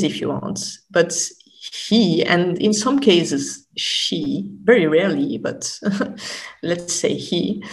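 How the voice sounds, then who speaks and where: A woman speaking as an academic guest in an interview, explaining thoughtfully and often stopping to rephrase. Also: low voice. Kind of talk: unscripted explanatory interview talk.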